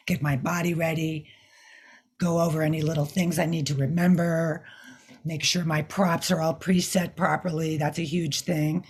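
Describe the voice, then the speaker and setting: An older woman with a scratchy, hoarse voice, speaking thoughtfully as an interview guest and sometimes searching for words. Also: low-pitched, a little mellow. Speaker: guest mime artist. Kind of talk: casual interview talk.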